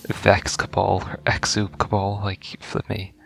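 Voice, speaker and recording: A man's voice: low voice, completely flat delivery, slow, vocal fry. A man giving casual first-reaction gameplay commentary, informal and stumbling a little as he reads game text aloud. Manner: informal, stumbles over words when reading aloud. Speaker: gaming video creator.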